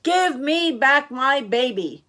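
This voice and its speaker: A woman voicing a protective, cautious father in a children's storybook, speaking deliberately and emphatically. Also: medium pitch, big pitch swings, fairly bright tone, heavily acted. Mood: demanding.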